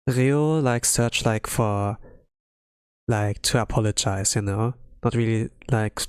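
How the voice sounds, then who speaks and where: A man streaming casual commentary on a game story, rambling and halting with many filler words, over music. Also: lowish voice, clean tone, no echo, clean studio sound. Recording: music under the voice.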